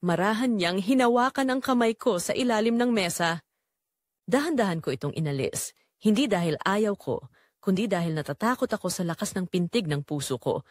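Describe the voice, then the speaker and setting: A woman with a Filipino accent reading a scripted first-person story in a measured, dramatic way. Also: medium pitch, animated, dry recording, clean studio sound. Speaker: audiobook narrator.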